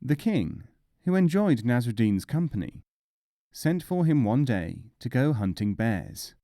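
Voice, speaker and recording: A man reading humorous folk tales as a scripted storyteller, in a measured, expressive way. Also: mid-range voice, no room sound, clean studio sound. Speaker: audiobook narrator.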